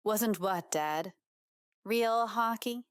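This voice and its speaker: A woman's voice: mid-range voice, very slow, clean tone. A woman acting as a determined, wry hockey player daughter with some edge, in an audiobook drama. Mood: edgy, challenging.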